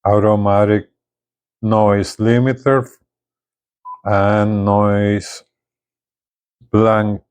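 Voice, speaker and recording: Slow, low-pitched man giving a demo. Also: darkish tone. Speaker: gadget reviewer presenting a CB radio. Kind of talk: casual hands-on product demonstration.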